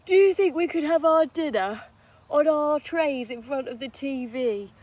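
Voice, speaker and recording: A woman's voice: high voice, unhurried, darkish tone, no echo, voice pushed up. A woman voicing a weary, put-upon father in a children's storybook.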